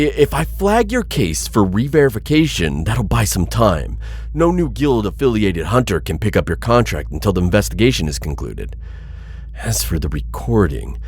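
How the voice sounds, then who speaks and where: A man acts out a principled, wary alien bounty hunter in an intimate audio roleplay. His voice is lowish and husky, with lively swings in pitch. He talks in a hesitant, thoughtful, rather formal way. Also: exaggerated voice. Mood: grave, resolved. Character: persistent insectoid alien bounty hunter, male, cautious but principled. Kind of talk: ASMR audio roleplay addressed to listener.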